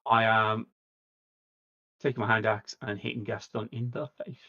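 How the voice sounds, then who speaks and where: A man's voice: fairly low pitch, flat delivery, moderate pace, pushed and bright, dull audio. A man playing a tabletop game, stating his actions and dice results in casual talk.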